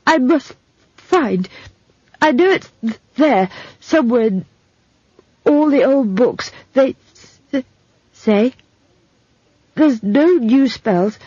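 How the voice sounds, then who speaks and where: A woman performs a lanky apprentice wizard lad from a storybook, pitched high and earnest. He stutters heavily on consonants and gulps for air between halting phrases. The audio is slightly dull. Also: expressive, mellow, breathy edge, dry recording, exaggerated voice. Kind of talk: audiobook character voice.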